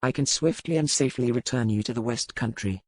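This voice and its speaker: A woman voicing a formal, resigned male pirate captain in an audiobook, speaking with measured gravity. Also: a bass voice, darkish tone, no echo, voice pushed down. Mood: firm, grave. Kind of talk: dramatic audiobook character reading.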